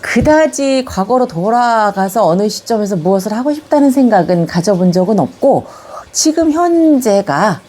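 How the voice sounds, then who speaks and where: An older Korean woman with a slight Chungcheong accent, calmly telling her life story in an interview. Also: mid-range voice, normal speed. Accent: Chungcheong-tinged Korean. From Korea, Yongin area of Gyeonggi. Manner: calm, reminiscing, gently animated when recalling childhood. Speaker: elderly interviewee recording a video autobiography.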